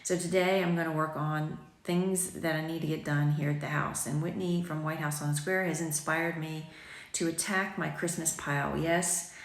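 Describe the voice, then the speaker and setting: A woman in her forties with a low voice and a slight morning rasp, chatting casually with viewers and rambling with plenty of ums. She talks into a phone held at arm's length in a sunny kitchen, and the room gives her voice a noticeable echo. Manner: chatty, rambling, self-deprecating, with frequent ums. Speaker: boutique owner vlogging. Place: sunny home kitchen, indoors. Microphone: phone camera at arm's length.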